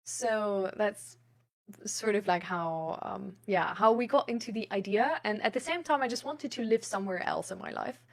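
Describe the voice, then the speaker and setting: A woman's voice: mid-range voice, moderate pace. A woman in casual, rambling chat, thinking aloud in an informal way.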